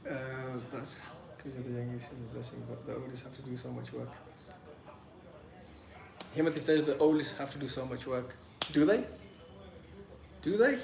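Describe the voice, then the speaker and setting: A man's voice: medium pitch, moderate pace, long pauses, a crowd in the background. A young man whose first language is Pashto, hosting a casual live Q&A from his phone and answering chat questions in a loose, rambling way full of "like" and restarts. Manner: loose and chatty, lots of "like" and restarts. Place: in Medellín, Colombia. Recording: echoey room with clear background noise.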